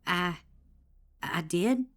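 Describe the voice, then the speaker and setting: A man's voice: high-pitched, expressive, very slow, a bit bright, pitched above the performer's own voice, heavily acted. A man voicing a frightened young farm-girl pony who asks timid questions in a fanfic reading.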